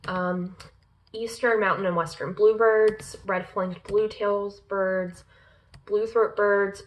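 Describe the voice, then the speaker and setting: A woman reads a long list aloud off her laptop at a slow, careful pace. She hesitates often, filling gaps with ums and uhs and tripping over unfamiliar words, in a mid-pitched voice. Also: rough recording. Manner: halting, with many ums and uhs, stumbling over names. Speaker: pagan lifestyle vlogger. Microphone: laptop in front of her.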